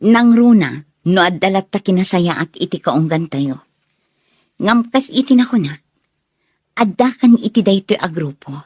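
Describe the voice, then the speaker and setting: A woman's voice: medium pitch, a little mellow, dry recording, lightly acted. A woman playing a protective, firm elder sister with an Ilocano accent in a radio drama.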